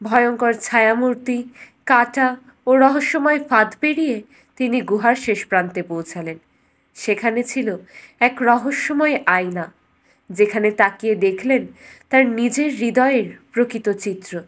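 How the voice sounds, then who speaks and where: A woman's voice: on the high side, measured pace. A woman storyteller reading a scripted fairytale as narration for a bedtime story.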